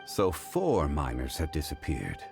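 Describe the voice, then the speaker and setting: An actor playing a calm, learned expert in a video game who speaks in a measured, formal way. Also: male voice, extremely low pitch, vocal fry, exaggerated voice. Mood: inquiring. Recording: game audio with background music. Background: game music.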